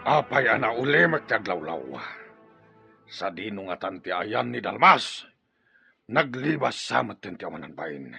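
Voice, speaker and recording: Casting: a villainous greedy chief, very gravelly and raspy, defiant and scornful, dull radio-drama sound. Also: male voice, on the low side, sing-song, unhurried, sharp tone, faint music behind, full character voice. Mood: menacing. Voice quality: gravelly, rough. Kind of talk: Ilocano radio drama acting.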